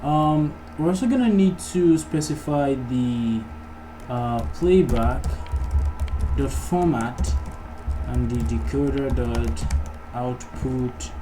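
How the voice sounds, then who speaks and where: A man's voice: mid-range voice, expressive, takes it slowly, music in the background. A man narrating an informal coding tutorial, casually thinking aloud as he works through each step. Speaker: programming tutorial host. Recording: clearly noisy recording, keyboard typing. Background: background noise, computer keyboard typing.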